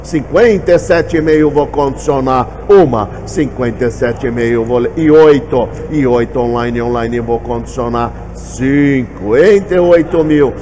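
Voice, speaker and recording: A man with a Brazilian Portuguese accent working as an auctioneer, calling out bids for vehicles in a fast, repetitive chant. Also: medium pitch, warm tone, slight background noise.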